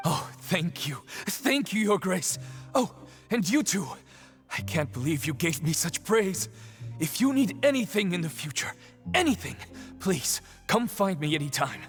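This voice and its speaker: High-voiced fawning underling. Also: male voice, very animated, measured pace, fairly bright tone, in a large hall, faint music behind, exaggerated voice. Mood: gushing, deferential. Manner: gushing, deferential. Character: timid, fawning, grateful male subordinate. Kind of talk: video game voice acting.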